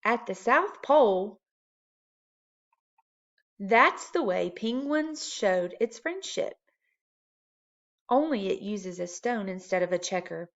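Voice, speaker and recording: A woman's voice: medium pitch, lively intonation, slow pace, pauses often, lo-fi sound. A woman voicing a cheerful, stubbornly optimistic husband in a storybook reading. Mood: delighted.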